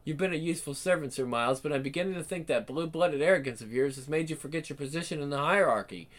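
A woman's voice: low-pitched, quick pace. An actress playing a cold, domineering female villain who gives orders and mocks her servant in a radio drama. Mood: commanding. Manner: icy, commanding, mocking.

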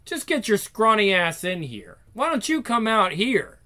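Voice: mid-range voice, big pitch swings, measured pace, pushed and bright, pitched above the performer's own voice. A performer voicing pushy, taunting young schoolyard bullies in a dramatic story reading. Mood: taunting, menacing.

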